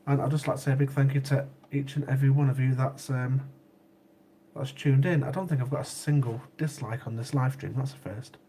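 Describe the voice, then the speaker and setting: Man, sniffly cold, rambling livestream host, mid pitch, faint hiss. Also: fairly even intonation, a little fast, warm tone. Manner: chatty, repeats himself, laughs easily. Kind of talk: casual chat with live chat viewers.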